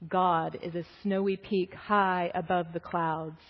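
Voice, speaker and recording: Lady reading bickering storybook animals, proud and emphatic, slow delivery, muffled echoey hall sound. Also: fairly low pitch, steady tone. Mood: quietly assertive. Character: quarrelling animals and nature spirits, each insistent.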